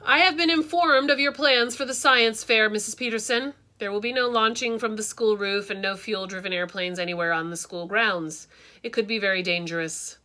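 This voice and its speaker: Woman voicing a stern, brisk school principal. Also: medium pitch, close to an everyday voice. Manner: firm, loud and brisk. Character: stern male school principal, firm and official.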